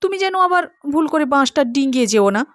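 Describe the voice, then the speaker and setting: Anxious village wife, high and pleading , read by a woman narrator, close dry sound. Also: lively intonation, a little fast, no room sound. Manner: high, urgent, fearful pleading.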